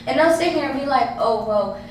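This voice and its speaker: A woman's voice: high voice, expressive, brisk, a little mellow, distant mic. A thirty-year-old woman artist talks earnestly, handing out advice. She sits away from the mic in an echoey, noisy room. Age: 30s. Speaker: guest artist. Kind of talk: casual chat.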